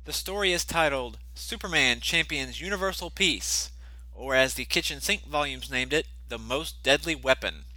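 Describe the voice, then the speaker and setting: A male podcast host with a very bright, forceful tone and a slightly high pitch for a man, a little congested. He talks earnestly into a close mic in a dry, dead room with faint music underneath. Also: dry recording, rough recording. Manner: earnest, apologetic, reads notes with filler "you know". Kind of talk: casual scripted podcast talk.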